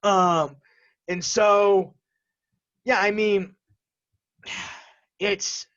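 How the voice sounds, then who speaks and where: Male co-host, notably high voice, unscripted and rambling business chat, slightly muffled lo-fi audio. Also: steady tone, extremely slow, a bit bright. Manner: rambling, breaks off and restarts sentences. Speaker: co-host of an energy industry talk show. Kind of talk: casual unscripted banter and commentary.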